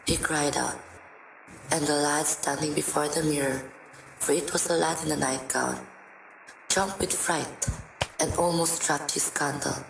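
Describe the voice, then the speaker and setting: A woman's voice: low voice, steady tone, a little slow, creaky, pauses often, background noise. A woman reading dramatic literary narration as the narrator of a radio drama. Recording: echoey, music behind voice.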